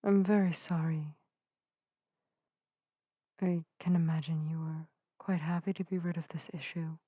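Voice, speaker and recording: A woman playing a gentle, earnest witch healer in an ASMR audio roleplay, soft-spoken and soothing with old-fashioned, formal phrasing. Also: sits low, fairly even intonation, unhurried, husky, no room sound, muffled recording. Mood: apologetic, soothing. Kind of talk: narrative ASMR roleplay addressed to the listener. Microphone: close microphone in a dry space.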